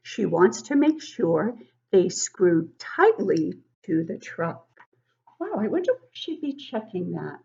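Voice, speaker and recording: Through slightly muffled, lo-fi sound, a woman reads a picture book to young children at an unhurried pace. She sounds like an encouraging teacher, giving the text clear emphasis and chatting directly to her little listeners with questions and asides. Her pitch sits in an ordinary range for a woman. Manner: expressive, emphatic when reading. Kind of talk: read-aloud storybook narration with questions to children. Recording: dull, lo-fi sound.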